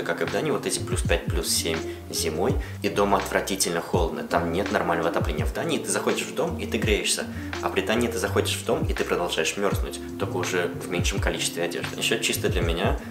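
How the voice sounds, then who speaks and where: A young man casually answering viewer questions in a relaxed, chatty way. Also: sits low. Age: young adult. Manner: relaxed, chatty, switches between Russian and English. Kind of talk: casual Q&A vlog talk. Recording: roomy echo with music behind.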